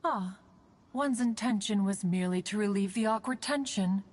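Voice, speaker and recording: A woman's voice: medium pitch, moderate pace, close to an everyday voice. A woman voicing an ancient, grand female crane spirit in a video game, speaking in a formal, archaic manner. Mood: fond teasing. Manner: archaic, stately, formal speech. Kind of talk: game voice acting.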